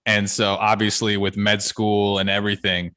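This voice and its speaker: A podcast host of about thirty speaks in an upbeat, affirming way during a casual chat. His voice is low for a man but bright and forceful in tone. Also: completely flat delivery, normal speed. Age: 30s.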